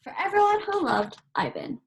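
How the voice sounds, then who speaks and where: A woman reading a children's picture book aloud as a volunteer story reader, in measured phrases with pauses between them. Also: extremely high pitch, big pitch swings, vocal fry.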